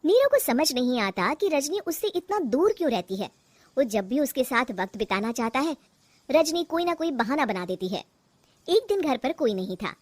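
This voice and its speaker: A woman narrates a cartoon story in a high voice. Her delivery is animated and rises and falls a lot. She tells it in an expressive storybook way. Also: normal speed, no echo.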